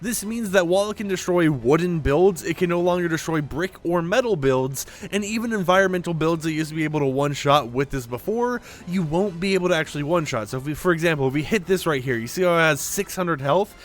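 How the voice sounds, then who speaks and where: A young man with a slightly high voice and a sore throat keeps his volume down while he explains a game patch. He talks in a loose, rambling way, full of fillers, into a close microphone. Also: animated, fast, hardly any pauses. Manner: talkative, rambling with many fillers. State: sore throat, speaking quieter. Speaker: gaming news YouTuber. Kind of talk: casual game update commentary.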